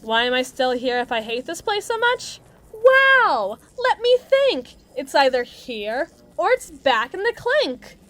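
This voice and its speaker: A mean, cocky female villain's henchwoman voiced by a woman for an audio roleplay. Her voice is high and swings widely from note to note. She talks tough and slangy, mocking the listener with theatrical sarcasm. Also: sing-song, slow, a bit bright, exaggerated voice. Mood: sarcastic, jaded. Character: brash, mean female villain henchwoman, muscle for evil agency. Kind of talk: acted monologue roleplay addressed to listener.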